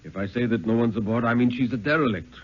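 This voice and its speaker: A stolid head lighthouse keeper, as a male reader puts him on, with a low, husky voice. He speaks soberly and with grave, measured certainty. It comes from a dull vintage radio thriller recording. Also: lightly acted. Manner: grave, measured, matter-of-fact.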